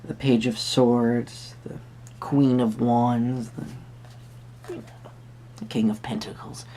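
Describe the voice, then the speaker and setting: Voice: medium pitch, flat delivery, takes it slowly, dark tone, slight background noise, rough recording. A tarot reader speaking to the listener in a roleplay, musing hesitantly in wordy, formal phrases. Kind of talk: soft-spoken roleplay tarot reading addressed to the viewer.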